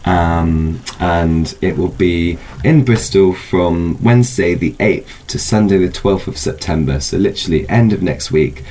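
A man's voice: low voice, a little slow, few pauses, darkish tone, poor audio. A man speaking as a festival guest, explaining an event informally in hesitant, thoughtful phrases. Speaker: film festival spokesperson, guest.

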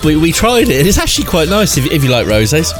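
A man's voice: a little high, sing-song, fast, music in the background. A man co-hosting a radio show with casual, jokey, rambling banter.

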